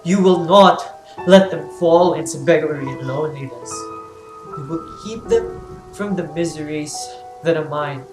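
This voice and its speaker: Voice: mid-range voice, a little slow, natural voice. An actor playing a blinded, grieving fallen king who pleads solemnly in a classical tragedy reading. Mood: grieving, pleading. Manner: mournful, pleading, solemn declamation. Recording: roomy echo, faint music behind.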